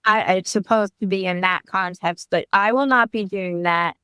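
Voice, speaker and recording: A woman's voice: mid-range voice, moderate pace, bright, forceful tone, smooth, no echo, studio quality. A woman in her fifties, a wellness coach talking as a guest in a podcast interview, chatty and often restarting her sentences. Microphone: remote call.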